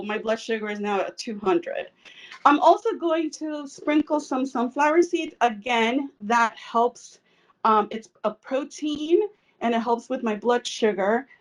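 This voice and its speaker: A woman's voice: high voice, far from the mic, poor audio. A woman from El Salvador giving a casual cooking demonstration in her home kitchen, chatty with frequent fillers. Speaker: cooking instructor.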